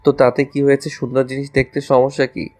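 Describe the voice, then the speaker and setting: একজন পুরুষের অভিনয়ে দুষ্টু, রোমান্টিক কলেজপড়ুয়া ছেলে, পেছনে বাজনা. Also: male voice, medium pitch, monotone, brisk, a little mellow, faint music behind, lightly acted. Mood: flirty. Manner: playful, mock-innocent, teasing. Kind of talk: audio romance story acting.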